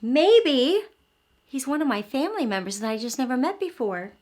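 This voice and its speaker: A woman's voice: sits high, sing-song, normal speed, darkish tone. A woman hosting an interactive read-aloud for young children, telling the story expressively and explaining as she goes. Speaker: host of children's early learning show.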